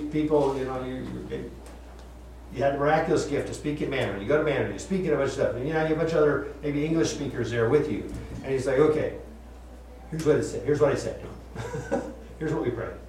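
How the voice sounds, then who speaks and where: A man's voice: on the high side, fast, a little mellow, distant mic, a little hiss or hum. Chatty man teaching in an echoey room. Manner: chatty, laughs often, repeats and restarts. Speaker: Bible study teacher. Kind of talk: casual Bible study teaching. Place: room with group listening.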